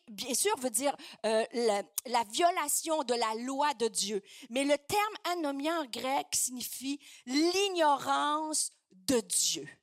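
A woman's voice: sits high, no room sound, studio quality. A woman with a Québécois French accent preaching a sermon in an emphatic, declaratory way, with some hesitant fillers.

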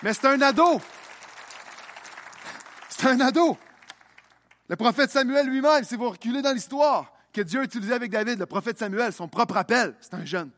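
A man's voice: very high-pitched, normal speed, long pauses, a bit bright, some chatter behind. A young man preaching a sermon as pastor, repeating phrases as his delivery builds in intensity.

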